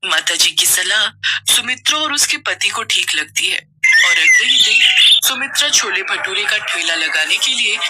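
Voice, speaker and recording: A woman narrating a moral story for children in a steady storytelling manner. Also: medium pitch, unhurried, noisy background.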